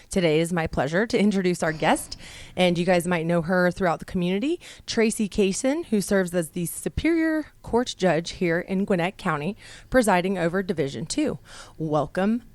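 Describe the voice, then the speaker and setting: A woman's voice: on the low side, darkish tone. A woman hosting a radio talk show in a studio, upbeat and chatty, laughing easily.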